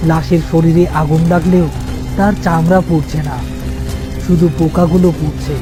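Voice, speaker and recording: A male narrator reads a scripted horror tale. His voice is quite high for a man, and music plays clearly behind it throughout. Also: flat delivery, normal speed.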